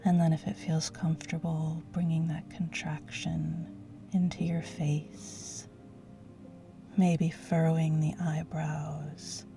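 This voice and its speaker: A woman leading a guided yoga nidra relaxation in a soothing, gentle, hushed and breathy voice. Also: sits low. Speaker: guided meditation teacher.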